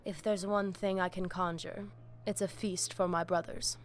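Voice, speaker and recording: An actress playing a diplomatic, scheming vampire pack leader in a video game, speaking in a measured, formal and controlled way. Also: female voice, lowish voice, little pitch movement, lightly acted. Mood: assured.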